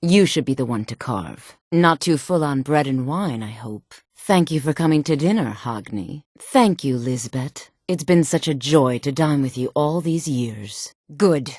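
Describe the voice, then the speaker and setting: A woman's voice: sits low, animated, a little slow, few pauses, a little mellow, dry recording, studio quality. An actress voicing a sultry, seductive cannibal cultist woman in a fantasy video game, with a silky, beckoning delivery. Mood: gracious, welcoming.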